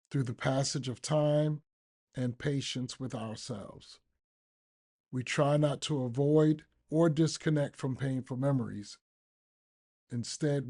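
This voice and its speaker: A man reads a short devotional text at a slow pace. His voice is mellow and relaxed, with deliberate phrasing and pauses. It sounds like a scripted daily reading for a podcast. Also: mid-range voice. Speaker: podcast reader, recovering addict.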